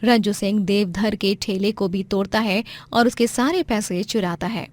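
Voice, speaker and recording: A woman's voice: medium pitch, moderate pace, a little mellow, dry recording, studio quality. A woman narrating a scripted moral story in a dramatic storytelling style.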